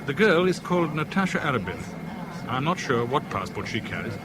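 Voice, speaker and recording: An actor playing a polite, guarded English gentleman traveller in a 1970s radio drama, formal and slightly hesitant, with a British accent. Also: mid-range voice, big pitch swings, fairly quick, background noise, natural voice. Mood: tentative, courteous.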